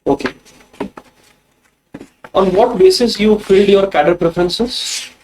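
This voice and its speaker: A man with an Indian accent, formally questioning a candidate as an interview panelist in a measured, probing way. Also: sits high, darkish tone, low-quality recording.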